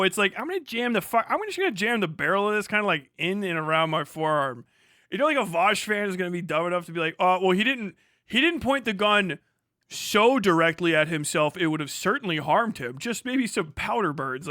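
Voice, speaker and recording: An American man hosts a livestream reaction with a high, sharp-edged voice. He talks casually, swears freely and leans on sarcasm and disbelief. The sound is very dry, with no room to it. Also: no room sound. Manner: sarcastic, profane, incredulous. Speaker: livestream host reacting to a video.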